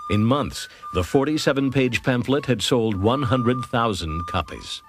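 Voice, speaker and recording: A man's voice: medium pitch, lively intonation, measured pace. A man delivering scripted historical narration as the narrator of a television documentary. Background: music.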